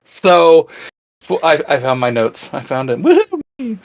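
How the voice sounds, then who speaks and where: A man's voice: a little high, huge pitch swings, unhurried. A man co-hosting an informal geek discussion, sharing ideas in a conversational, chatty way. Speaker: channel co-host.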